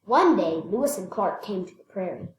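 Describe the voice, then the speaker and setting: A woman reading a children's picture book aloud expressively, stressing words and pausing to point things out. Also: medium pitch, animated, roomy sound, a little hiss or hum, rough recording. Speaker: read-aloud storyteller.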